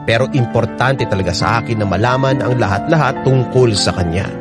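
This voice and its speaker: A man's voice: medium pitch, a little fast. A man reading a listener's letter as scripted, dramatic first-person narration on a radio drama program. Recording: loud music bed under the voice. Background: loud music.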